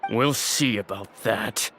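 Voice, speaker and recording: A man voicing a sleazy, cowardly, blustering troublemaker in a video game, whiny and gravelly. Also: lowish voice, slow, fairly bright tone, soft background music. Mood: sullen, grudging.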